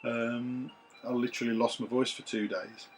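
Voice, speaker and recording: A man, his voice a bit rough from recovering after losing it, chatting to camera in a relaxed, rambling way with many ums, recorded on a camera's built-in mic in a small room. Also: medium pitch, moderate pace, soft background music. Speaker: retro games collector vlogger. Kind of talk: casual chat to camera. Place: small room full of retro computers.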